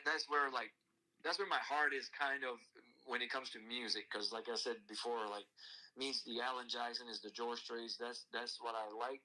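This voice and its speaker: A man's voice: mid-range voice, pushed and bright, rough recording. A man in his forties whose first language is French, a country singer telling his story in a casual interview with many restarts. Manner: halting, many restarts and fillers.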